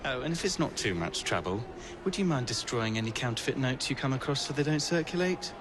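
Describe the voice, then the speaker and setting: An actor playing a dry-witted, fretting Victorian gentleman in a video game cutscene. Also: mid-range voice, animated, a little fast, close to an everyday voice. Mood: hopeful, polite. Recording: noisy outdoor street ambience.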